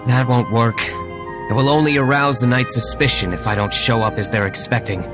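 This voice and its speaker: An actor playing a composed, shrewd young gentleman winery owner in a video game, formal and measured, with music behind him. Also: mid-range voice, close to an everyday voice. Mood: guarded. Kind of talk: video game character acting.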